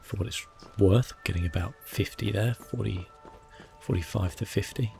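Hushed, low-voiced man with creaky murmur. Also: normal speed, faint music behind. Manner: hushed, murmuring, often dropping into whispers. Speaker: gaming video host. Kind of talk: ASMR gameplay commentary, soft-spoken and relaxed.

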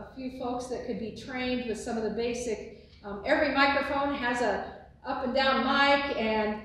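An older woman pastor with a booming voice giving church announcements and a benediction, recorded by a distant phone in a large sanctuary. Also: slight background noise. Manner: booming, expressive, gesturing widely. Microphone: phone on a tripod from afar. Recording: echoey sanctuary from far off.

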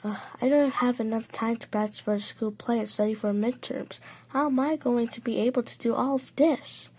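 A woman's voice: fairly high pitch, fairly even intonation, normal speed, mellow, breathy edge. A woman voicing a flustered, anxious and prickly teenage girl in a comic dub, sounding exasperated and self-conscious. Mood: stressed.

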